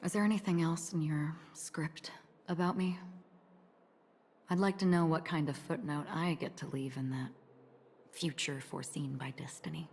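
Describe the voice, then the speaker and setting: A grave, courteous woman from a game cutscene. Her voice sits low and turns softly breathy as she talks in a measured, reserved way. A faint noise lies behind her. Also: fairly even intonation. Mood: quiet, pensive. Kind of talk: scripted dramatic game cutscene acting.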